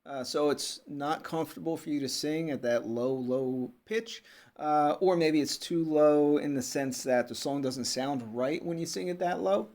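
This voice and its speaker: A man teaching a relaxed music lesson, explaining step by step with many pauses and checking in with "okay?" as he goes. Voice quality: fairly low voice. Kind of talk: unscripted video lesson.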